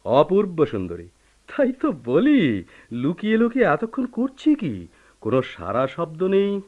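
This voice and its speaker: An actor playing a playful, teasing young husband and friend with theatrical delivery in a radio play. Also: male voice, a little high, huge pitch swings, a little slow, full character voice. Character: jovial, teasing young friend, married and expansive. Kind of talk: Bengali radio play acting. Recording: muffled old radio recording.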